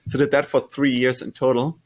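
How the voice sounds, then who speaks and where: A man's voice: medium pitch, flat delivery. German-accented man, founder being interviewed. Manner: measured, with frequent fillers and restarts. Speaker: startup founder and CEO. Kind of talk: conversational interview answers.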